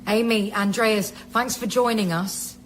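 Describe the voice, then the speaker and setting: A woman acting a tired, jaded researcher in a radio play. Her voice is medium in pitch and she speaks in a natural, conversational way to colleagues. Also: fairly even intonation, measured pace, a little hiss or hum, close to an everyday voice. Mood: tired, dry. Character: weary, cynical female scientist colleague.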